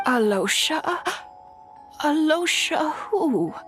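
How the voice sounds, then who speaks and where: A woman's voice: high voice, very animated, extremely slow, exaggerated voice. A woman voicing a frail, forgetful old grandmother in a game cutscene, doting and hesitant, with music behind her. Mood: confused, tender. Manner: hesitant, stammering, fond and fussing. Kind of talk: video game cutscene acting.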